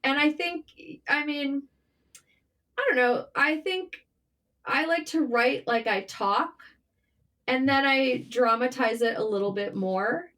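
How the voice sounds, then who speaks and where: Female interview guest, higher register, conversational storyteller, frank and informal with the odd mild swear. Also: slow, a bit bright. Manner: informal, candid, mildly sweary. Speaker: interview guest, writer and journalist.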